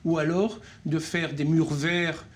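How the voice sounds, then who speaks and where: A middle-aged French-speaking man, co-director of a nonprofit, answering interview questions with many pauses, fillers and restarts. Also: a little high, fairly even intonation.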